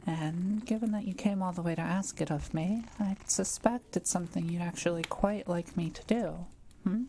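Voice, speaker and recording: Woman acting a gentle, mellow-voiced forest witch. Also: lowish voice, normal speed, a little hiss or hum, close to an everyday voice. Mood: curious, coaxing. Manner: gentle, hesitant, softly teasing. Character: kind, shy, quiet forest witch. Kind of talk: intimate audio roleplay, speaking to the listener.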